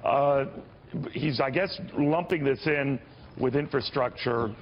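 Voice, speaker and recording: A man conducting a live news interview in halting, hesitant phrases with frequent pauses. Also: medium pitch, a little hiss or hum. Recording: muffled, band-limited broadcast audio.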